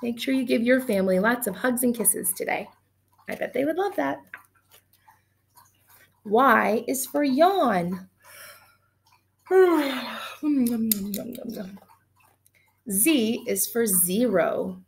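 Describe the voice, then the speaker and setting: A woman in her thirties, a teacher reading a picture book aloud to children in an animated, gushing way, recorded on a webcam in a small room at home. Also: fairly high pitch, extremely slow, creaky, long pauses, a little hiss or hum. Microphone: webcam at desk distance.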